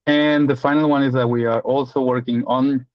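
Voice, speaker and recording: A man's voice: medium pitch, steady tone, normal speed, no room sound. A man with a Mexican accent talks through a legal update in long, rambling, joking sentences. His voice sits mid-range and sounds dry and close. The video call audio is dull and lo-fi. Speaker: legal and data protection lead.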